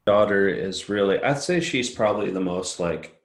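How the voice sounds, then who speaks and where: A middle-aged man with a deep baritone voice, chatting in a relaxed, rambling way as a podcast guest and laughing often. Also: moderate pace, roomy sound. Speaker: guest singer-songwriter. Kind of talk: casual interview chat.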